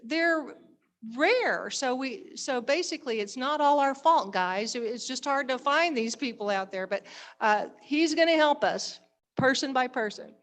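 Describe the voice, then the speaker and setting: Upbeat chatty woman doing an informal report at a meeting, voice a bit high. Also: animated, unhurried. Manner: chatty, enthusiastic, colloquial. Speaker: society director presenting annual report. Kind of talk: informal spoken presentation to members. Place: live members' meeting.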